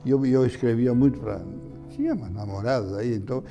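An older man answering interview questions in a halting way, often repeating his words and chuckling. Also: medium pitch, dark tone, soft background music. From Brazil. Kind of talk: reminiscing interview talk. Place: indoor room beside an altar.

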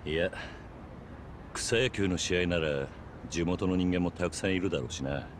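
An actor playing a stoic, weary middle-aged tough guy in a video game, terse, gruff and restrained. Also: low voice, normal speed, noisy background, close to an everyday voice. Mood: calm. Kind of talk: video game cutscene acting.

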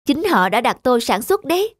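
A woman's voice: high voice, big pitch swings, a little fast, no echo, clean studio sound. A woman voicing a polite, enterprising young village man in a cartoon, earnest and courteous. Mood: confident, modest. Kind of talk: cartoon dubbing. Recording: music under the dialogue.

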